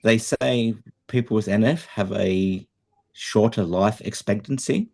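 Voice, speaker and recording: Middle-aged Aussie man, very low voice, halting chatty co-host with a dry streak. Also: creaky, a little mellow. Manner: hesitant, many ums, dry humour. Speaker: podcast co-host. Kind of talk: casual chat.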